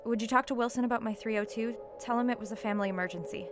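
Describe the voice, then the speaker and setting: An actress playing a steady, wry young college student in an audio drama, with a dry, earnest delivery. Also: female voice, fairly high pitch, racing, background noise, natural voice. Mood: guarded, earnest. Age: young adult.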